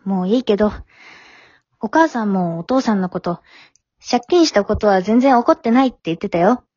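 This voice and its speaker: Teen daughter, played by a woman, frank, gently chiding, matter-of-fact; dry, slightly lo-fi radio drama sound. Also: medium pitch, animated, normal speed, no room sound, natural voice. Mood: frank, reproachful. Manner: matter-of-fact, teasing, plain-spoken. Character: frank, forgiving teenage high-school daughter, gently chiding. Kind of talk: amateur short radio drama acting.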